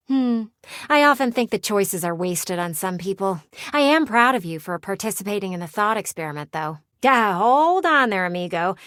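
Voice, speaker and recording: An actress voicing a playful, sardonic living window in a video game, with a teasing, theatrical and blunt delivery. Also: female voice, mid-range voice, a bit bright, dry recording, clean studio sound, lightly acted. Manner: teasing, theatrical, blunt, sighs and drawn-out words. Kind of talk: video game character voice acting.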